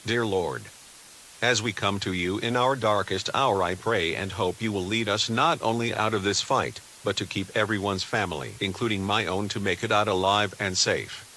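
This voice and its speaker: A man's voice: on the low side, normal speed, pushed and bright, low-quality recording. A man playing a grave, devout US defense official giving a solemn, formal scripted address to the nation. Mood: prayerful, solemn. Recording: hissy background noise.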